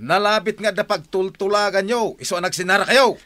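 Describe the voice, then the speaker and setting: An actor playing a jealous, menacing husband in a radio drama. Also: male voice, high voice, fairly quick, bright, forceful tone. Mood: jealous, accusing.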